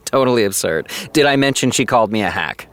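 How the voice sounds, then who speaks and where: Actor as smug man, medium pitch, lively intonation, wry, self-satisfied, audio drama. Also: moderate pace, natural voice. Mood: wry amusement. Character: smug, amused male television show creator. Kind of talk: naturalistic audio drama acting.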